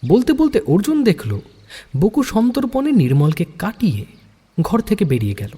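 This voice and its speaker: A man narrates a story dramatically in a husky, fairly high voice with lively swings of pitch. A long reverb hangs around his words. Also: normal speed.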